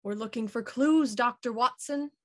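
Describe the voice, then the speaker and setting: Woman as shrewd, high-voiced police inspector. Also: moderate pace, lightly acted. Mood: probing. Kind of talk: radio play acting over video call.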